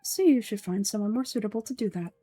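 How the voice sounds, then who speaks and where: A woman's voice: mid-range voice, fast talker, dark tone, breathy edge, soft background music, natural voice. A woman voicing an aloof, matter-of-fact male scholar in a game script, dry and pedantic. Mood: dismissive.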